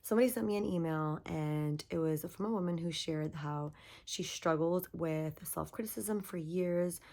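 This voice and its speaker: Young woman podcast host, low, gravelly, hoarse from a lost voice, casual and chatty, recorded in her bedroom. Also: normal speed, a little mellow. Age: young adult. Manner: chatty, upbeat, frequent likes and laughs. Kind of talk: casual self-help podcast monologue.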